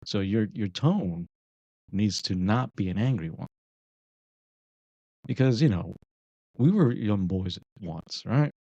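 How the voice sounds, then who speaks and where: Low gravelly man, deliberate podcast talker. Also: lively intonation, dark tone, no room sound, highs cut off. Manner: deliberate, explanatory, checks in with listener. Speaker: podcast co-host. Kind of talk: casual advice discussion.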